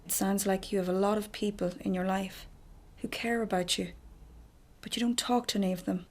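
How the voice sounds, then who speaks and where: An actress plays a female therapist in a radio drama. Her mid-range voice is calm and measured, gently questioning in a natural way. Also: mid-range voice, close to an everyday voice. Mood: calm, probing.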